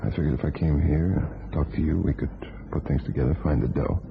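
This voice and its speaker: An actor playing Rusty Connors's type, a tough, scheming ex-convict with terse slangy talk, in a crime radio play. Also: male voice, extremely low pitch, fairly quick, vocal fry, slight background noise. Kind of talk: old-time crime radio play acting. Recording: tinny band-limited old radio, music behind.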